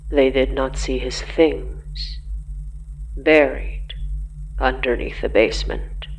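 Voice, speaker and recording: An ominous narrator reads scripted horror at an extremely slow pace. The voice is mid-pitched and deliberate, breaking the text into short phrases with pauses between them. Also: fairly even intonation, creaky, a little hiss or hum, poor audio.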